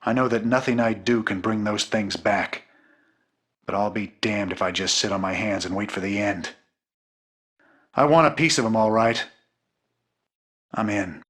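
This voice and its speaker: An actor playing a gruff, weary, stubborn human soldier in a video game, plain-spoken and dogged in his colloquial talk. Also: on the low side, moderate pace, long pauses, a bit bright. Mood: gritty determination.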